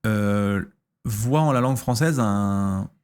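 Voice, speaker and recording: A man in his thirties from France talks casually on his stream, answering viewers' questions. His voice sits a little low, and he halts often, restarting sentences and filling gaps with hesitations. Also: slow, a little mellow, smooth. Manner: hesitant, lots of fillers and restarts.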